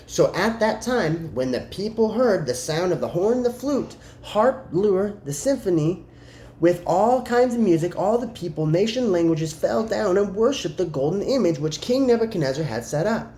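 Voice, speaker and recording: A person reading scripture aloud dramatically, deliberate and emphatic, pausing between phrases. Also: medium pitch, expressive, dark tone. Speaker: scripture reader. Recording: roomy echo, faint background noise.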